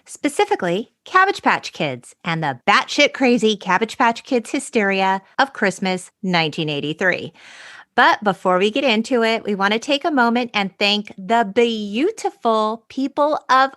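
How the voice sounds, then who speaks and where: A woman's voice: medium pitch, big pitch swings, normal speed, a bit bright, dry recording. Emphatic woman podcaster, close dry sound. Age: 40s. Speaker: podcast co-host. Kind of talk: casual chat with a friend, some scripted intro.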